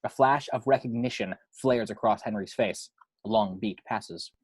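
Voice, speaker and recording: A man with a husky voice reading scripted screenplay action descriptions as narrator. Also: on the low side, moderate pace, background noise.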